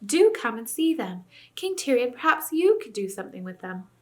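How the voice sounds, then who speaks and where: A woman reading a storybook, voicing a kind, earnest young girl who speaks gently and tenderly. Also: a little high, sing-song, normal speed. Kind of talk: audiobook character reading.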